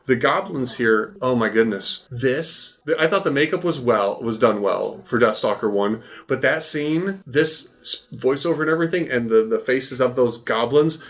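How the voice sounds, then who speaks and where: A man co-hosting a casual film podcast, riffing and exclaiming excitably among friends. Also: mid-range voice, moderate pace, hardly any pauses. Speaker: podcast co-host.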